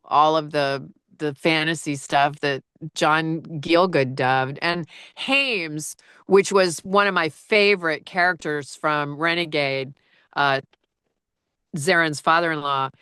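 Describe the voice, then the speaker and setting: Low-voiced woman narrator, bright and dry. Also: slow, clean tone, no room sound. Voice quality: low-register voice. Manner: rambling, with many fillers and restarts. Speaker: audiobook narrator. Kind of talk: casual conversation.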